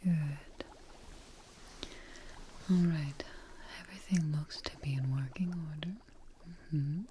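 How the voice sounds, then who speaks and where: A young woman whispers slowly and gently, leaning into a close microphone as if tending to someone. Her voice sits low and mellow, with a faint hiss behind it. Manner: soft whispering, gently reassuring. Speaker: ASMR creator. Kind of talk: ASMR roleplay.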